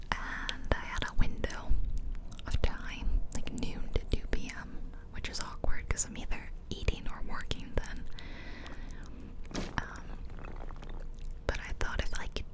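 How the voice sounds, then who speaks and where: A woman whispering softly and close to the mic in ASMR style, rambling casually through a personal story in a chatty way. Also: slow pace, long pauses, low-quality recording. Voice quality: soft unvoiced whisper. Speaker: ASMR video creator. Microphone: close-up ear-to-ear stereo microphone.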